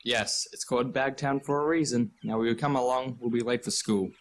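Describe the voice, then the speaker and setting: A man's voice: medium pitch, steady tone, normal speed, close to an everyday voice. A man playing an earnest, dutiful Australian schoolboy in a nostalgic radio play. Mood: impatient. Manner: earnest, exasperated.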